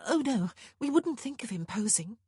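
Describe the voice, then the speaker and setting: A woman voicing an anxious, proper young English gentlewoman in an audiobook, with breathless, urgent, emphatic delivery. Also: on the high side, big pitch swings, normal speed, husky, no room sound, studio quality, heavily acted. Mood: polite, uneasy. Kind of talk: audiobook character dialogue.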